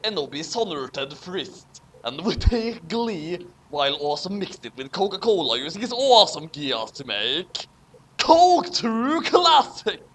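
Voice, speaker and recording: A man's voice: high-pitched, sing-song, unhurried, fairly bright tone, a little hiss or hum. A man giving a dramatic, comic reading of fan fiction, theatrical and exclamatory, with casual asides. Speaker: fan fiction reader, voice performer.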